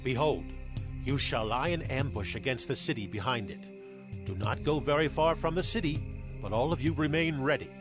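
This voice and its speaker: An actor playing a solemn, fervent Israelite military leader in a dramatized Bible production. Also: male voice, mid-range voice, animated, normal speed, natural voice. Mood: commanding. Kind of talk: dramatized Bible audio acting. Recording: loud musical score behind. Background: music.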